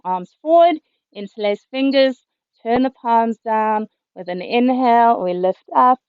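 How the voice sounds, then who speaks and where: A woman yoga teacher, a little hoarse, calmly cueing breath and movements through a class over a video call. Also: medium pitch, no room sound. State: slightly hoarse. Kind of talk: guided yoga instruction. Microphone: computer mic on a video call. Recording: dull, lo-fi video call audio.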